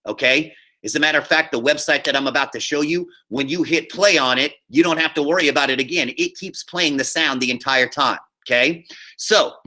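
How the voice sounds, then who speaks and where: Male teacher for an online walkthrough: fairly high, very bright voice, informal and instructive, checks in with listeners. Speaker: high school theater teacher. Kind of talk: live online class instruction, screen-share walkthrough.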